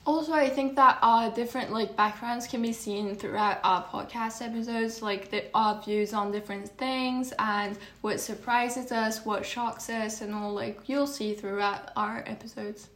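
A teenage girl from Turkey co-hosting a podcast, talking quickly and saying "like" often. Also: fairly high pitch, steady tone, fairly bright tone. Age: teens. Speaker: podcast co-host. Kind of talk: casual chat. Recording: echoey room.

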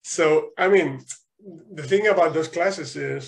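Gravelly man telling stories. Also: on the high side, measured pace, poor audio. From Spain. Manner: animated storytelling, frequent restarts and laughs. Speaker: guest. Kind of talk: casual interview chat.

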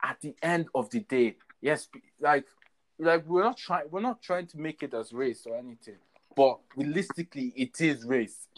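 A man's voice: mid-range voice, moderate pace. A man from Ireland in a heated casual discussion, rambling and often checking that he is understood. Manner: rambling, repeats "you get what I mean".

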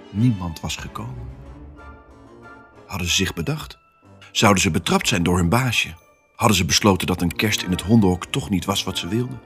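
A male actor voicing an excitable pet dog who tells his own Christmas adventure in an expressive, theatrical family audio drama style. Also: lowish voice. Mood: worried, wistful. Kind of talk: first-person storytelling in a family audio drama.

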